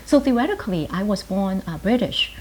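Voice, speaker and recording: A woman in her fifties from Hong Kong, a native Chinese speaker, reflecting on her life in an earnest, halting way in short phrases. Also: mid-range voice, expressive, poor audio. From Hong Kong, later New York. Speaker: pharmacist and writer being interviewed. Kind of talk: reflective personal interview answers. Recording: hissy background noise.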